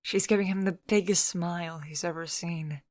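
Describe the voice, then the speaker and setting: A woman narrates a scripted story in the third person. Her voice is very low for a woman, and the sound is dry and close, with little room around it. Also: fairly even intonation, normal speed, a little mellow, no room sound.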